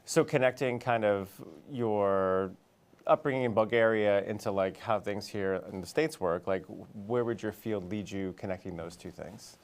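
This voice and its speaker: Low-voiced man, a school dean hosting. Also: normal speed, clear, resonant tone. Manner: friendly, loose, many "uh" fillers. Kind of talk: casual school TV interview.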